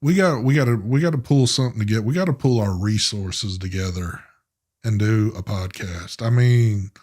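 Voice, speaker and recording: A man with a slightly low voice chats on a live stream. He rambles loosely from one idea to the next and cusses casually, sounding relaxed and unhurried. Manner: laid-back, cussing, rambling. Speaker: co-host of a live vape show.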